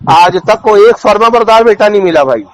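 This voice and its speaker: High-pitched, scornful cleric. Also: male voice, expressive, fairly quick, poor audio. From India. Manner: scornful, indignant, insulting, with rhetorical questions. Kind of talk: polemical religious talk, off the cuff.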